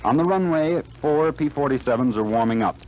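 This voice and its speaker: A man's voice: fairly high pitch, lively intonation, fairly quick, dull audio, a little hiss or hum. A man narrating a 1940s radio drama in a dramatic, announcer-like manner.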